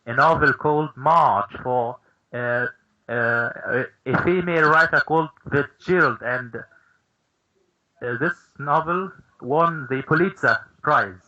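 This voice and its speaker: A man's voice: mid-range voice, takes it slowly, sharp tone, dull audio. A man calling in, speaking hesitantly with stumbles. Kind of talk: caller in a chat.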